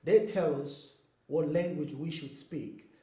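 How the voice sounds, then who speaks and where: A man's voice: a little high, slow pace, mellow. A man from Congo, giving an earnest interview and often checking that the listener follows. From Congo (Kinshasa). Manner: earnest, checks in with "okay?" and "you know what I mean?". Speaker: interview guest. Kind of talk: explanatory interview talk. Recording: roomy echo.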